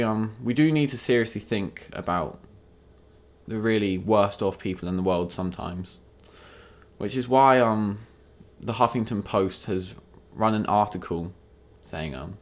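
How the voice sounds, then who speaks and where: A man's voice: on the low side, unhurried, pauses often. A young English man delivering sarcastic, mock-outraged comedic news commentary as a vlogger.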